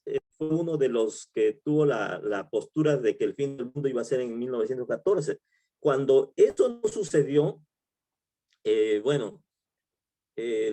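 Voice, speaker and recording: A man's voice: medium pitch, dark tone. A middle-aged man with a Peruvian Spanish accent, teaching a Bible study in a conversational way, with many fillers, restarts and tag questions. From Peru. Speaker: pastor.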